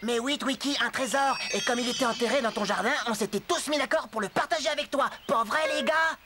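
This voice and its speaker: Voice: medium pitch, brisk, lightly acted. Actor voicing an earnest, easygoing cartoon youngster. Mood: curious, protesting. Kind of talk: French cartoon dubbing. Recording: music behind.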